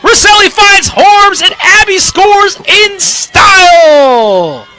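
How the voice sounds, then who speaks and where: A man's voice: extremely high pitch, lively intonation, slow pace, bright, forceful tone, shouting. A young American man delivering sports news and commentary with an emphatic broadcast delivery. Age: young adult. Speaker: student sports anchor, reporter and play-by-play commentator.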